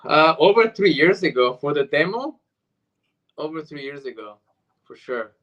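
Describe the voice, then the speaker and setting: A man's voice: a little high, expressive, moderate pace, muffled recording, slight background noise. A man from Argentina, a native Spanish speaker, telling stories casually as an interview guest. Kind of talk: casual chat, storytelling.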